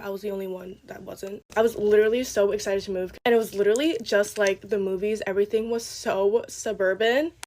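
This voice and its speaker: A woman's voice: medium pitch, darkish tone. A young woman from Taiwan talking candidly and reflectively in a chatty get-ready-with-me storytime. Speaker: TikTok creator.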